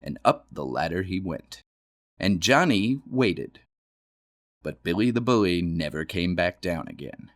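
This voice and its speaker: A man reading a children's storybook aloud. He plays up the words with dramatic emphasis, his pitch leaping and dropping all through. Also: big pitch swings, unhurried. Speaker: storybook reader. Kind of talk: read-aloud storybook narration.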